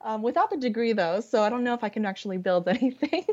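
A woman's voice: on the high side, expressive, fast talker, darkish tone. A woman with a Californian accent chatting casually as a podcast guest, with a giggly, hesitant way of talking. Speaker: podcast guest, English conversation partner. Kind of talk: casual conversation. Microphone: online voice call. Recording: dull, lo-fi internet call audio.

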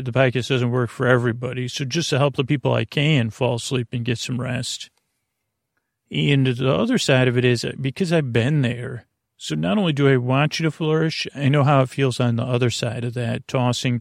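A man with a mid-pitched, creaky voice hosts a podcast meant for falling asleep. He meanders and stalls, breaking off his own sentences and picking them up again. The sound is very dry, with no room around the voice. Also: no echo. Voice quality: gravelly, creaky. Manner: meandering, halting, absent-minded, self-interrupting. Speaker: podcast host. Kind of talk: rambling bedtime podcast monologue.

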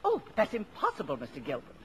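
A woman's voice: on the low side, wildly swooping pitch, fast talker, fairly bright tone, slight background noise. An actress playing a polite, genteel, easily flustered lady school principal in a 1950s radio play. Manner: genteel, hesitant, easily astonished. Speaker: radio actor. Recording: vintage 1950s radio recording.

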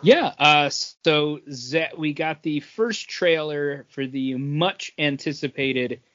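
A man's voice: fairly high pitch, slow pace, fairly bright tone, dull audio. A man co-hosting a podcast, chatting casually about films and comics. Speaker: podcast co-host.

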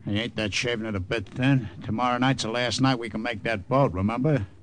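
An actor playing a wary, streetwise American saboteur, blunt and slangy, in old radio drama style. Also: male voice, mid-range voice, steady tone, brisk, close to an everyday voice. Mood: impatient, wary. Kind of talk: 1950s radio drama acting. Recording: noisy old radio recording.

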